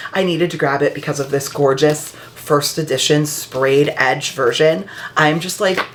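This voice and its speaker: A woman book vlogger talking in a casual, heartfelt way, her voice a little raspy and hoarse after being ill. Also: low voice, fairly bright tone, a little hiss or hum. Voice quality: slightly raspy. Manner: chatty and heartfelt. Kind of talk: casual vlog chat. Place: upstairs room at home.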